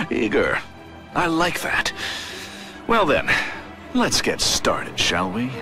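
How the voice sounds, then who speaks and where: A sinister game villain who savours every word. The delivery is extremely slow, with huge pitch swings and whispery menace. Loud music sits behind. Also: male voice, wildly swooping pitch, exaggerated voice. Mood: gloating, eager. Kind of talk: video game villain acting.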